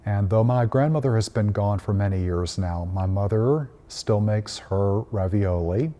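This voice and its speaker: Gentle low-voiced priest telling stories. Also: male voice, highs cut off. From Tennessee, United States. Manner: gentle and unhurried, frequent "uh" fillers. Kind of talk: reflective Christmas storytelling message.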